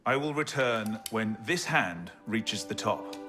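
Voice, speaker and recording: A gentle reassuring father. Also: fairly low pitch, expressive, slow, music in the background. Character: kind, reassuring nobleman father.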